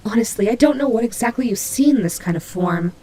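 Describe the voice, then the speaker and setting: A woman's voice: a little high, lively intonation, fast talker, warm tone, a little hiss or hum, natural voice. A woman playing a prickly but caring female nightmare demon rabbit in an audio roleplay, speaking to the listener with hesitant, teasing, flustered delivery. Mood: defensive, awkward.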